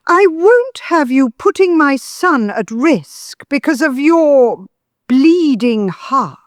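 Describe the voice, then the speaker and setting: A woman's voice: high voice, big pitch swings, slow pace, no echo, studio quality, exaggerated voice. A woman playing a haughty, disapproving older mother-in-law in an audio drama, pompous and full of righteous insinuation. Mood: indignant, defensive.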